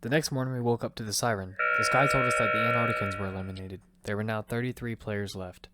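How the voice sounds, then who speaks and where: A man's voice: fairly low pitch, steady tone, quick pace, very noisy, close to an everyday voice. A man playing a hot-tempered father who narrates his own battle-royale survival story in an amateur audio drama. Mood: grim, measured. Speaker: narrator and lead actor. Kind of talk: first-person narration and dialogue in amateur audio fiction. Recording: background music under the voice.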